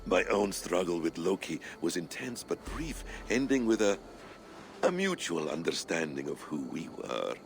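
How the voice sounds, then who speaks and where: Deep, gravelly, musing observer. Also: male voice, big pitch swings. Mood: intrigued, musing. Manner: musing, deliberate, theatrical. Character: cerebral, curious male observer narrating from afar, wryly detached. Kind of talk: dramatic video game narration. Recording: music behind the voice.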